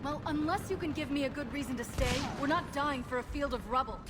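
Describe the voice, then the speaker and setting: A woman's voice: high-pitched, a little fast, noisy background, natural voice. A woman playing a battle-hardened, defiant army lieutenant in a video game, urgent and commanding. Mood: tense, defiant. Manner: urgent, barking orders. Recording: music and gunfire behind the voice.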